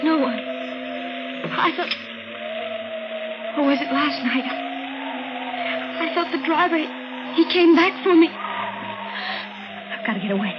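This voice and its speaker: An actress playing a frightened, unravelling young woman in a 1950s radio thriller, with halting, fragmented phrases. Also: high voice, animated, background noise. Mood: panicked. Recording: muffled old radio recording with loud music.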